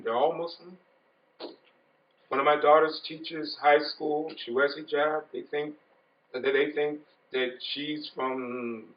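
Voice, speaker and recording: A middle-aged man giving an earnest, repetitive informal talk to an audience of young people, growing emphatic. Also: medium pitch. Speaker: speaker addressing young Muslims. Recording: echoey room, distant mic, dull sound.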